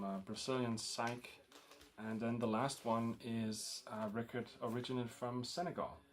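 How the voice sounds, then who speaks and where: A man with a Danish accent talks casually through his record haul, pausing often with ums and uhs. His voice sits a little low. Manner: rambling, full of ums and uhs. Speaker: record collector vlogger.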